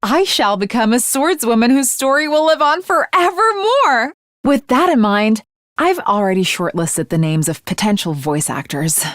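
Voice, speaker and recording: A woman's voice: fairly high pitch, animated, normal speed, fairly bright tone, no echo, clean studio sound. A woman voicing an earnest teenage swordswoman in an anime game, speaking in formal, archaic, grandiose declarations with chivalrous conviction. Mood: proud, grandiose. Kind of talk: English anime game voice acting.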